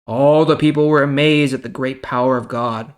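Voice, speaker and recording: A man's voice: mid-range voice, fairly even intonation, moderate pace. A man reading the Bible and explaining it in a casual, conversational way as host.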